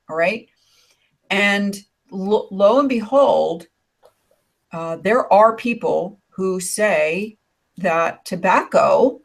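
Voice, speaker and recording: An older woman with a raspy voice from years of smoking talks slowly and a little low. She rambles through her points with fillers and side remarks, speaking into a computer mic on a video call. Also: fairly bright tone. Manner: rambling, with many fillers and asides. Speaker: co-host of an online hangout. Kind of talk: casual chat on a video call.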